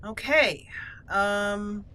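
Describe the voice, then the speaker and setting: A woman's voice: mid-range voice, very slow, sharp tone. A woman doing roleplay let's-play commentary, playful and theatrical in the way she talks to viewers. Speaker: gaming channel host.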